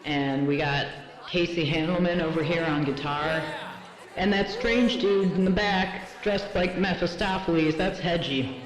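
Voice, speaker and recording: A woman's voice: on the low side, fairly even intonation, unhurried, lightly acted. A woman playing a laid-back singer introducing her band from the stage in an audio drama. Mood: casual, friendly. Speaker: band frontwoman. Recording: echoey venue, long reverb, crowd noise.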